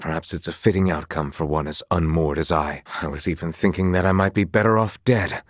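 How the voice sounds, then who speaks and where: An actor playing an earnest, guilt-ridden young knight in a fantasy video game, speaking in formal, archaic courtly phrases. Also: male voice, low-pitched, fairly quick, dry recording, studio quality. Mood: somber, penitent. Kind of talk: dramatic fantasy game voice acting.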